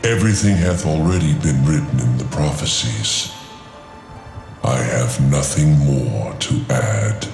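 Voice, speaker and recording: A man voicing an ancient, weary dragon in a fantasy game, speaking gravely in archaic phrases with a gravelly voice. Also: a bass voice, a little mellow, full character voice. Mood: solemn, weary. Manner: archaic, grave, deliberate speech. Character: ancient weary prophetic dragon, male, fatalistic and solemn. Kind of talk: fantasy video game voice acting. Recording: cavernous echo with music underneath.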